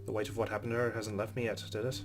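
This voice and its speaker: A man's voice: on the low side, flat delivery, rapid-fire, over music. A man hosting a let's play, reading a game's narration aloud and adding his own commentary.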